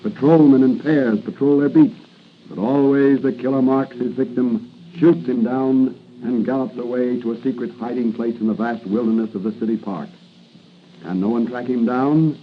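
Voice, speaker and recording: A man's voice: medium pitch, fairly even intonation, normal speed, close to an everyday voice. An American man announcing and narrating with dramatic, scripted 1940s radio delivery. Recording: muffled, noisy 1940s radio recording.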